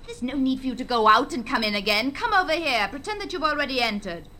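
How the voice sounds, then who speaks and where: Bright, impatient, mocking young woman. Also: high voice, animated, quick pace, slight background noise. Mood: impatient, commanding. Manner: passionate, theatrical, commanding. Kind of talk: stage drama acting.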